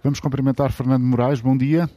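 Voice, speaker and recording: A man with a European Portuguese accent hosting a live radio sports discussion, speaking in measured, formal, winding sentences. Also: medium pitch, little pitch movement, dark tone, muffled recording. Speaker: radio host.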